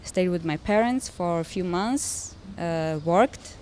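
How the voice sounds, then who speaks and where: A young woman from Armenia who grew up in Russia and speaks Russian as her first language. She tells her life story as a podcast guest, warmly and with frequent laughter. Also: on the low side, animated, slow pace, slight background noise. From Armenia, raised in Russia. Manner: friendly, laughs often, clear storytelling. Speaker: guest, interior designer. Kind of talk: casual podcast interview.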